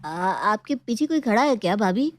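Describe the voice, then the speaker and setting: A woman's voice: on the high side, animated, moderate pace, no room sound, close to an everyday voice. A woman voicing a cheeky, chummy young male friend who teases casually in a domestic story. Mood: cheeky teasing. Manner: teasing, chummy.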